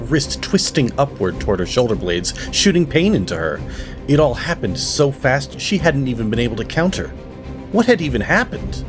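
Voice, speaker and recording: A man's voice: on the low side, natural voice. A man playing a solemn storyteller, giving dramatic scripted narration for a fantasy audio drama. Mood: tense, dramatic.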